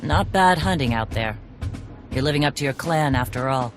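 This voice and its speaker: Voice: medium pitch, lively intonation, moderate pace, natural voice. Actor as a curt, commanding war leader. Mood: curt appraisal. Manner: curt, authoritative. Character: commanding, battle-seasoned clan war leader. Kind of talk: video game cutscene acting. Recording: voice over background music.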